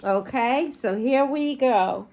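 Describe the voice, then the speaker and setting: A woman with a high voice reads a children's story at a very slow, careful pace. She is an animated storyteller who speaks directly to young listeners and adds chatty asides as she goes. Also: creaky. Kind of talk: animated storybook read-aloud.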